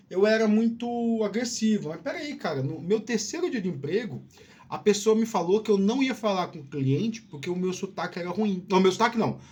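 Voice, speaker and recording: A man's voice: high voice, darkish tone. A man giving casual career advice as a video host, informal and digressive, often repeating words and checking in with the listener. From Piauí, Brazil.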